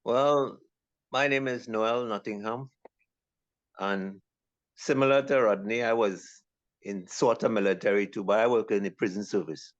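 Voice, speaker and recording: A man's voice: on the low side, fairly even intonation, measured pace, dry recording, lo-fi sound. A man in his seventies speaking easygoing as a panel guest, with many ums. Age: 70s. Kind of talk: casual chat.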